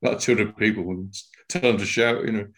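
Older scouse guy, lowish voice, laid back, tells stories, says you know a lot. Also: a little fast. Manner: relaxed anecdotal, frequent "you know". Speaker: TV writer and guest. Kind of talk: casual interview.

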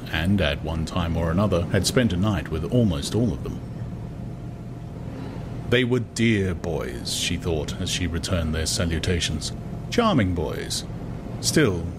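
A man narrating a novel as a dramatized audiobook in a measured, deliberate way. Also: low voice, noisy background.

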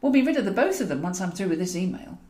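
A woman reading an audiobook, voicing a brisk, sardonic, bossy elderly woman who schemes and gossips. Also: mid-range voice, very animated, a little mellow, roomy sound, natural voice. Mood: brisk scheming. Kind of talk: character dialogue in an audiobook.

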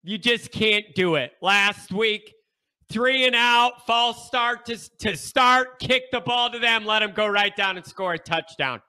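A stuffed-up man hosting a sports talk monologue, his voice high-pitched and piercingly bright. He takes his time with each phrase, hammering points home through repetition and sudden shouted bursts. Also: measured pace, clean tone. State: stuffed-up nasal congestion. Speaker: show host.

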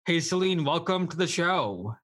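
Bright, upbeat male podcast host. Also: a little high, lively intonation, moderate pace. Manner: upbeat and encouraging, asks short questions. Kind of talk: hosting an interview podcast.

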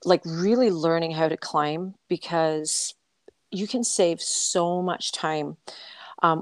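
Scottish woman, lowish voice, dry recording, coach co-hosting a casual podcast, explains with questions. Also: no echo. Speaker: endurance coach and podcast co-host. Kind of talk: casual conversational podcast talk.

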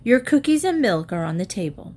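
A woman voicing a weary but patient mother who speaks gently to her daughter. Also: mid-range voice, big pitch swings, a little fast.

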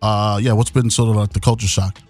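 A man's voice: fairly low pitch, level tone, fairly quick, a little mellow, slightly rough, soft background music. A man co-hosting a radio show, talking in a slangy, hyped way, leaning on "man" and "you know what I mean.". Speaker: radio co-host. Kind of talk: casual radio talk. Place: radio studio.

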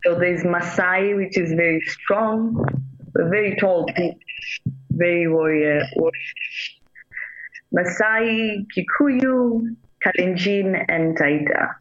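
A woman's voice: fairly low pitch, takes it slowly, highs cut off. A woman from Kenya, a reggae singer, talking earnestly about her music in a casual radio interview, in short repeated phrases. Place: radio call-in.

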